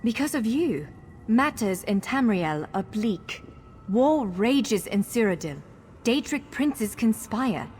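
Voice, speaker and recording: A woman's voice: a little high, big pitch swings, slow, a little mellow. A woman playing an earnest, encouraging female elf scholar who guides a hero in a fantasy video game. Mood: solemn. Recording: long hall reverb, faint music.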